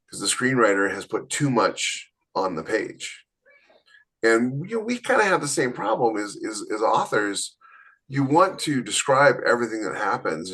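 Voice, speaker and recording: An emphatic man with a low-pitched voice, co-hosting a relaxed podcast chat about writing. He lays out his points firmly, like someone giving advice. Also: husky. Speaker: podcast co-host. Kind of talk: casual panel chat.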